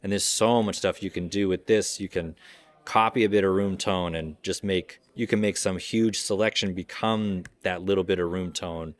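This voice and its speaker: Male demonstrator, lowish pitch, conversational and explanatory, informal product walkthrough. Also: fairly even intonation, normal speed. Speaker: audio software company representative giving a demo.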